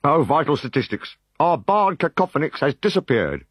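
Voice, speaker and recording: A man voicing a brisk, level-headed Gaulish warrior. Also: fairly high pitch, very animated, breathy edge, dry recording, voice pushed up.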